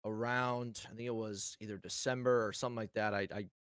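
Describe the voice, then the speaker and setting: Easygoing low-voiced man. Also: fairly even intonation, fairly quick, fairly bright tone, clear, resonant tone, no room sound, studio quality. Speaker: streamer guest. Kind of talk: casual interview chat.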